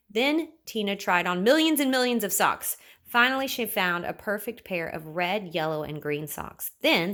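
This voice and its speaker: Woman, children's read-aloud narrator. Bright tone, very varied pitch, playful and expressive. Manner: animated, with playful repetition and sound words. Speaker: storybook reader.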